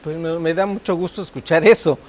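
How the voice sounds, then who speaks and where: A Mexican man with a Mexican Spanish accent, a guest on a talk show who explains things calmly. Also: fairly high pitch, a little fast. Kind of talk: conversational interview.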